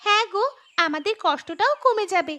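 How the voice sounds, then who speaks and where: A woman voicing an eager, excitable young village child in a fairy tale cartoon. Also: extremely high pitch, a little fast, heavily acted. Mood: complaining. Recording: music under the voice.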